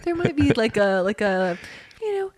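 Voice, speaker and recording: A woman's voice: on the high side, very animated, normal speed, darkish tone, no echo, clean studio sound. A woman co-hosting a podcast in casual comedic chat, playful and wry as she banters. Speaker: podcast co-host.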